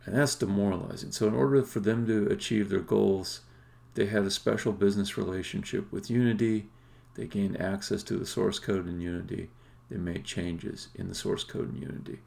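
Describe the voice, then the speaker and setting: A man's voice: lowish voice, fairly even intonation, moderate pace, gravelly, darkish tone. A man streaming live coding as a game developer, talking casually and bluntly in unscripted, sometimes sweary fragments as he thinks aloud. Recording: faint background noise, keyboard clicks.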